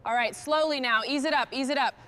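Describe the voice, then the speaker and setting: A woman's voice: high-pitched, fast, noisy background, close to an everyday voice. A woman playing a focused restoration crew boss, giving short orders in acted workshop dialogue. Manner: short, instructing phrases. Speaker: crew leader in a truck restoration.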